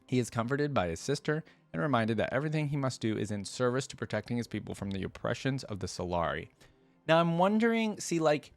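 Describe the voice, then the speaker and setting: A man reading lore aloud for a reaction video, dropping in casual, curious asides as he thinks out loud. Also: medium pitch, creaky, no room sound.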